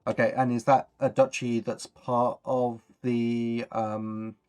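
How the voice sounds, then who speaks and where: A man's voice: mid-range voice, flat delivery, slow, darkish tone, clean tone, faint music behind. A man chatting casually and encouragingly as he hosts a worldbuilding livestream. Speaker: stream host.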